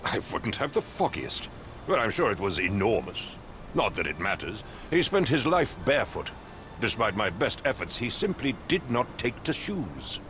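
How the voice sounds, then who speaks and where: Middle-aged male English captain for a game: pompous, curt, slightly deep, outdoor noise behind. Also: big pitch swings, moderate pace, a bit bright. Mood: dismissive. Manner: pompous, clipped, condescending. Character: pompous, impatient male English captain, haughty wealthy master. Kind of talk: video game voice acting.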